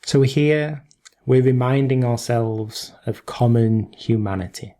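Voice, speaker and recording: A man leading a guided meditation in gentle instruction, unhurried, leaving long pauses between short phrases. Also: medium pitch.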